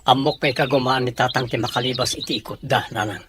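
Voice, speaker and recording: A man's voice: medium pitch, fairly even intonation, fast, fairly bright tone, close to an everyday voice. A man playing a determined, loyal young son in a drama, earnest and reassuring. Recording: clear noise with birdsong behind.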